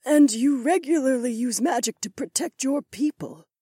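A woman acting as a husky, imperious queen. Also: sits high, normal speed, warm tone, dry recording, studio quality. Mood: probing. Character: stern imperious queen, mother, interrogating. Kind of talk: audiobook drama dialogue.

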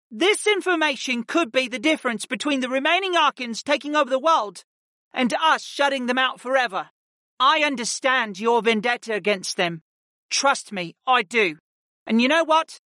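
A woman's voice: high voice, no echo, clean studio sound, voice pushed up. A narrator voicing a commanding, intimidating female ice mage who lays down the law. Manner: forceful, commanding.

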